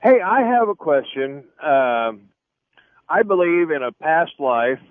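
A man's voice: on the high side, sing-song, slow pace, dry recording. A man calling in to a radio show, speaking casually. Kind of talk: casual question. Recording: tinny, dry phone line.

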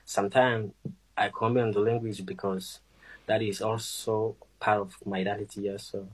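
A Burundian man whose first language is Kirundi, answering radio interview questions about his music in slow, halting English with many fillers. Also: fairly low pitch, little pitch movement, breathy edge, poor audio. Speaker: musician guest.

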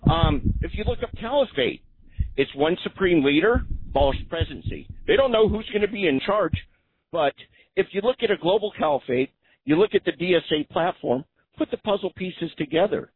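A male caller to a talk radio show, heard over a thin phone line. His voice is husky and a little high, and he rambles earnestly with many fillers. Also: animated, brisk.

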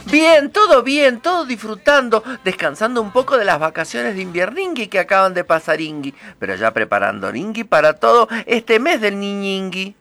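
A man voicing a sugary female children's princess host, with a high sing-song voice and a habit of tacking silly endings onto words. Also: very animated, moderate pace, few pauses, sharp tone, exaggerated voice. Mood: bubbly. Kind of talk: comic character bit on a show.

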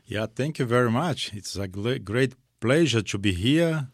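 Man, slow, mid-pitched, simple repetitive phrasing, radio testimony. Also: animated, no echo, studio quality. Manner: simple non-native phrasing, emphatic repetition. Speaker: interview guest, missions leader. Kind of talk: personal testimony in a radio interview. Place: radio studio.

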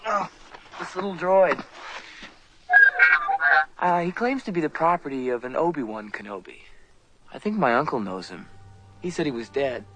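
A man's voice: fairly high pitch, expressive, moderate pace, pauses often, poor audio. A young actor playing an eager farm boy who asks earnest questions.

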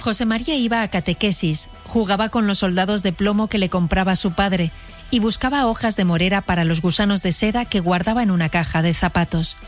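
Female documentary narrator, medium pitch. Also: fairly quick, soft background music. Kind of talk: scripted biographical narration.

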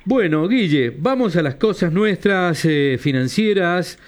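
A man from Mendoza with an Argentine accent hosting morning radio and reading listener messages in a lively way. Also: high-pitched, measured pace. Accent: Argentine Spanish. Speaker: radio host.

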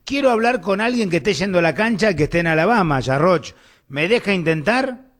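A male radio host with an Argentine accent speaks in a fairly high voice. He chats live, moving between questions and remarks to colleagues. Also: lively intonation, a little fast, a bit bright. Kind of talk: live radio chat with interviews and sponsor reads.

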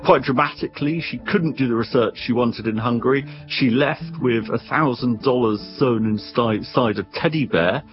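A man speaking as an expert guest, explaining things conversationally in an animated, wry way, sometimes doubling back on his words. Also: medium pitch, moderate pace, hardly any pauses, lo-fi sound, faint music behind.

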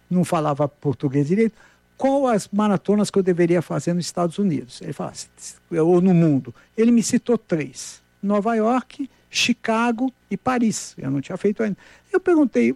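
An older Brazilian man, a marathon runner, telling animated anecdotes in a casual chat, often restarting his sentences. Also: high-pitched, gravelly, no echo. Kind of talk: casual storytelling.

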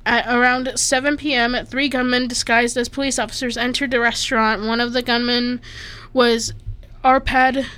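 Woman from Slovakia, bright high voice, reads notes casually, trips on names. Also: level tone, normal speed, clear, resonant tone. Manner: stumbles over names, self-deprecating asides. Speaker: co-host reading an article. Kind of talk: casual chat, reading aloud.

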